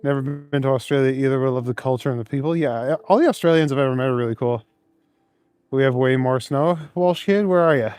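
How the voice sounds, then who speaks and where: A man's voice: mid-range voice, fast, darkish tone, smooth, no echo, faint music behind. A man live streaming while out on cold city streets, reading chat aloud in an easygoing, chuckling, rambling way. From Canada.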